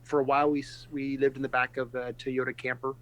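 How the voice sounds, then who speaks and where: A middle-aged man from California and Texas, a pastor speaking earnestly and reflectively in a casual podcast interview, pausing often and restarting his sentences. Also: medium pitch, flat delivery, fairly bright tone. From California and Texas, USA.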